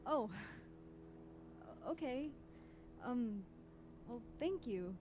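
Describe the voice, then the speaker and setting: Flustered, high-voiced young woman. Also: lively intonation. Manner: halting, flustered. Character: flustered, hesitant young woman, drenched and dazed. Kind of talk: naturalistic audio drama acting. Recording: faint background hum.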